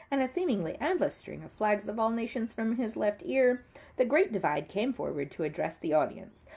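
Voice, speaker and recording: A woman reading a children's story aloud as an expressive, animated narrator. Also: medium pitch, creaky, breathy edge. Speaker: storybook reader.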